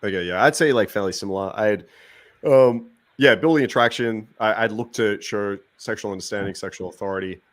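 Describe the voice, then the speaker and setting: A low-voiced man talks quickly and methodically, with plenty of fillers. The sound is dry and close to the mic. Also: no echo. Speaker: dating coach on a panel. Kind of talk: casual panel chat.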